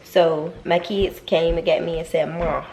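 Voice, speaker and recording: A woman's voice: lowish voice, level tone, measured pace, vocal fry, crowd noise. A young woman with a Southern accent, a mom chatting casually to her viewers in a home vlog, stuffy-nosed and rambling, often repeating herself. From North Carolina. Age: young adult. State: stuffy nose. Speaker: vlogger and mom. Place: home kitchen.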